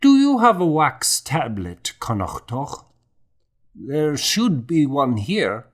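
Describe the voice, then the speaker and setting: A man playing a gentle old monk and scribe in an audiobook, fond, contemplative and lilting as he savors his words. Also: a little high, wildly swooping pitch, slow pace, pitched above the performer's own voice, exaggerated voice. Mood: gently eager. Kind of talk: audiobook character acting.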